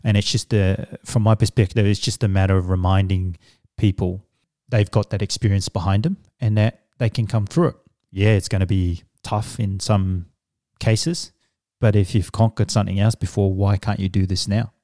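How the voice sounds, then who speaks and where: Samoan-born guy, middle-aged, samoan first language, warm low voice, dry room, talks slow and thoughtful with some ums. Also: fairly even intonation, no room sound. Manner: measured, reflective, with fillers and restarts. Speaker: guest, business coach. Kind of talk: conversational interview answers.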